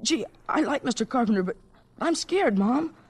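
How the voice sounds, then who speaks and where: An actor playing an excited, earnest young boy in a radio drama, gushing eagerly in boyish slang. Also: mid-range voice, moderate pace, breathy edge. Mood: earnest, scared.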